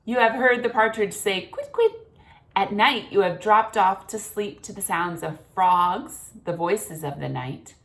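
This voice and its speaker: A woman's voice: medium pitch, unhurried, fairly bright tone. A woman reading aloud as a long-winded, grandiose father swan in a children's story, speaking with theatrical grandeur. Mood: grandiloquent.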